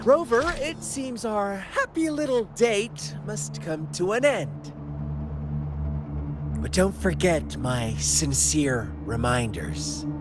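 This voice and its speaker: Sly, unhinged male video game villain, theatrical and mocking, very animated pitch, loud music behind. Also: slow pace, exaggerated voice. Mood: sly, taunting. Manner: theatrical, mocking, sweeping delivery.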